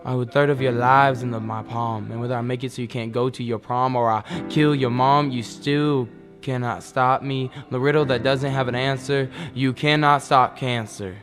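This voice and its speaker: Young male slam poet, middle pitch, emphatic rhythmic delivery, guitar backing. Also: steady tone, fairly bright tone. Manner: emphatic, deliberate. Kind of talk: performed slam poetry, rhythmic and dramatic.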